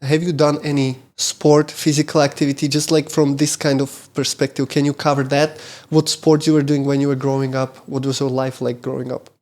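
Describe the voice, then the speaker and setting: A man hosting a podcast interview, who often pauses and restarts with fillers as he frames his questions. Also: mid-range voice, flat delivery, darkish tone. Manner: halting, many fillers and restarts while framing questions. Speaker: podcast host.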